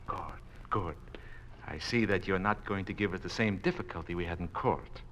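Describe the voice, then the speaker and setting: An actor playing a smug, cruelly polite communist boss who gloats with mock courtesy, in 1950s radio drama style. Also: male voice, low-pitched, moderate pace, a bit bright, a little hiss or hum. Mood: mock-courteous, menacing.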